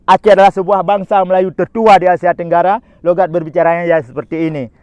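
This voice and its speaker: A man's voice: high-pitched, flat delivery, quick pace, a little mellow, clear, resonant tone, no echo. A man with an Acehnese accent, Acehnese his first language, giving an earnest, insistent political address to his followers. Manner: earnest, insistent, repetitive rhetorical appeals. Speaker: former rebel fighter addressing followers.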